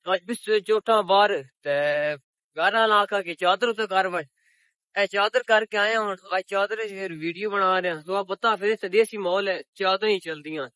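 Young punjabi guy chatting to the camera outdoors, fairly high voice, dry close phone sound. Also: steady tone, normal speed, sharp tone, no room sound. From Pakistan. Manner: chatty, addresses viewers as public. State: recovering sore throat. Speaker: village vlogger. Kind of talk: casual selfie vlog. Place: open countryside outdoors on a cloudy, windy day. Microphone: phone held at arm's length.

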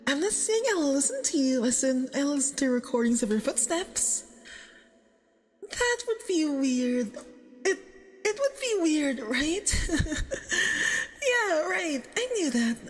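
A woman's voice: high-pitched, very animated, takes it slowly, heavily acted. A woman playing a nervous, jealous, obsessive young femboy boyfriend in an ASMR roleplay, with a flustered, stammering, possessive manner. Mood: nervous, eager. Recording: long reverb, doubled voice track.

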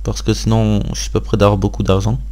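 Low-voiced man doing casual game commentary. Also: flat delivery, a little fast, a little mellow. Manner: informal, thinking aloud. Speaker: gaming video host.

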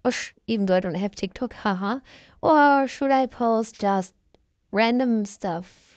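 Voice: mid-range voice, a little slow, dry recording. A young-sounding voice run through a voice changer, chatting casually in a rambling vlog. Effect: voice changer filter. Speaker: content creator.

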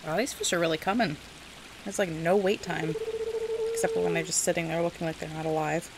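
A woman's voice: lowish voice, fairly even intonation, fairly quick, background noise. A woman giving casual, chatty gameplay commentary as host, often talking aloud to the game's characters. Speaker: gaming video host.

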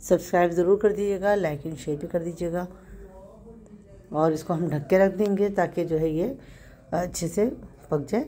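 Hoarse Pakistani woman, mellow and low. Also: normal speed, pauses often. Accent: Pakistani Urdu. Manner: chatty, explains step by step with small self-corrections. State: hoarse from a sore throat. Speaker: home cook host. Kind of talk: casual cooking narration.

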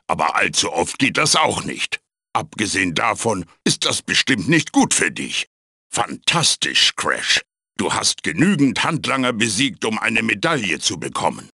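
A man voicing a wise, fussy magical tiki mask mentor in a video game, with a raspy, theatrical delivery. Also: on the low side, sharp tone, no echo, clean studio sound, heavily acted. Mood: pleased. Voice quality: raspy, gravelly. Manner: theatrical, emphatic, swooping delivery. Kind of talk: German video game voice acting, tutorial hints and quips.

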